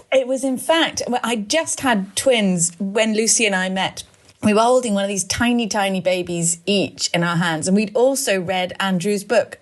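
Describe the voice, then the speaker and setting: A woman talks as a guest in an interview. Her pitch is average for a woman, and she answers in a conversational way. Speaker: guest, education charity founder.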